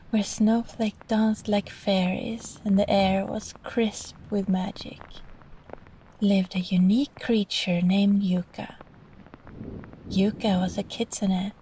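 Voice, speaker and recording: A woman narrates a bedtime story at an unhurried pace, in a soft and breathy ASMR style that often nears a whisper. Her tone is mellow with a touch of fry, gentle and soothing throughout. There is faint noise behind her. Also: mid-range voice, low-quality recording. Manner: gentle, slow, soothing delivery. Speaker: storyteller, voice actress. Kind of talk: soft bedtime story reading, sleep-aid ASMR roleplay.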